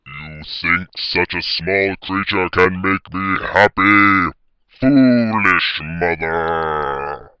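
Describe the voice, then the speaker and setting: Storybook villain baby pony, crazy deep and forceful, grand pompous slow talk. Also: male voice, clear, resonant tone, no room sound, heavily acted. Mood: indignant, vengeful. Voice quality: very deep, booming voice. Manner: pompous, melodramatic villain delivery.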